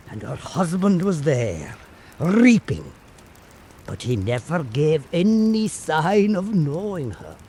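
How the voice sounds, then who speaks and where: A man with a notably high voice narrates an old folk tale. He takes his time, speaking slowly and dramatically, with pitch that rises and falls widely. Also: darkish tone, slight background noise. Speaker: storyteller.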